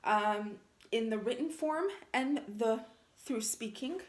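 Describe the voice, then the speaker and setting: A woman's voice: fairly high pitch, slow pace. A woman in her thirties from Armenia whose first language is Armenian, speaking earnestly to camera as a travel vlogger and often checking in with the viewer. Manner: earnest, keeps checking in with "okay?". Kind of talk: casual direct-to-camera monologue. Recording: roomy echo.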